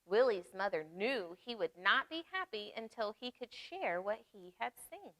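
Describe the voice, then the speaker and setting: A woman reading aloud at storytime for young children, expressive, pausing to explain and ask questions. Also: mid-range voice, no echo. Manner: expressive, asks listeners questions and explains words. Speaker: children's library storytime reader.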